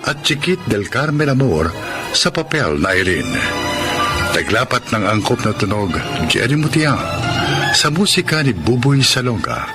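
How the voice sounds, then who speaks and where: A man hosting a radio drama, reading a scripted narration in a solemn, deliberate announcer style. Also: medium pitch, very animated, over loud music.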